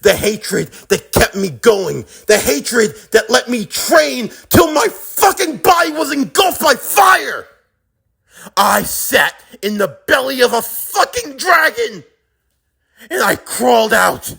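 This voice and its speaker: A man acting as a bitter, defiant young man in an anime drama, his voice swinging widely in pitch. He has a gravelly creak and hits his profane taunts with heavy emphasis. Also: expressive, slow pace, hardly any pauses, fairly bright tone, exaggerated voice. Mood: tormented.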